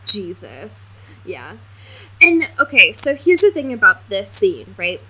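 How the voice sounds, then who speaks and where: A woman's voice: medium pitch, big pitch swings, slow, bright, forceful tone, slightly rough, low-quality recording. A woman co-hosting a podcast, casually discussing a book in a wry, informal way. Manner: wry, informal, peppered with mild swearing. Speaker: podcast co-host.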